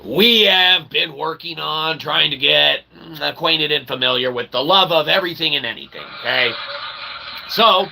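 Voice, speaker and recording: Gravelly, higher-pitched man streaming over noise. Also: normal speed. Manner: wordy, pseudo-formal phrasing with frequent "okay?" tags. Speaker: live stream host. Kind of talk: rambling live stream chat, mock-formal entertainment. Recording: clear background noise, room ambience.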